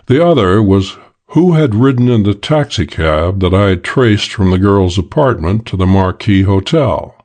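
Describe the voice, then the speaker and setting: A man reading a hardboiled detective audiobook as scripted narration, in a measured, matter-of-fact way. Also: lowish voice, expressive, a little mellow.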